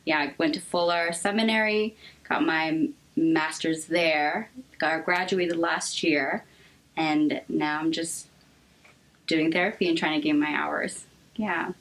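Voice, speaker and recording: Hesitant soft-spoken young woman. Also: low-pitched, fairly even intonation, slow, poor audio. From Seattle, Washington. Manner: hesitant, many ums, earnest. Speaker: guest therapist. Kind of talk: interview answers.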